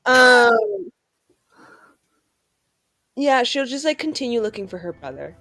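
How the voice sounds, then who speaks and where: A woman casually improvising her character's story as a tabletop game player, in animated, slangy speech peppered with "like". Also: on the high side, slow pace, soft background music. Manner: animated slangy chat full of "like".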